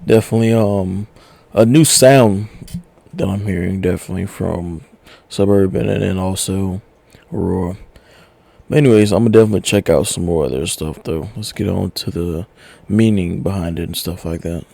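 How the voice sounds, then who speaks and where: A man's voice: deep voice, moderate pace, creaky, warm tone, no echo. A young man hosting a music reaction video, talking in a laid-back, slangy way. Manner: laid-back, slangy, calls listener bro. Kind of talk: casual reaction commentary.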